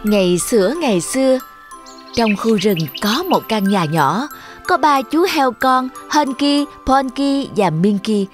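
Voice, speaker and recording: A woman's voice: a little high, animated, slow pace. A woman narrating a scripted children's story as a storyteller. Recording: children's music behind narration. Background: jingly children's music.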